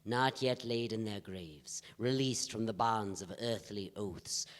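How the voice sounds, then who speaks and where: A man's voice: lowish voice, measured pace, natural voice. A man in a staged play reading, playing a solemn, grandiose psychic who speaks in a formal, portentous way. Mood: solemn, incantatory. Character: solemn, grandiose self-styled psychic medium named Joe. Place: theatre stage. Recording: reverberant theatre hall recording.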